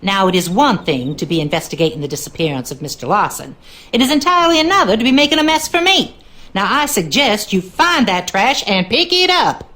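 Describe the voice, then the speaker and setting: A woman's voice: mid-range voice, expressive, fairly quick, pushed and bright. A woman playing a bossy, indignant housekeeper in a video game, scolding with heavy emphasis. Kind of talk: video game character acting.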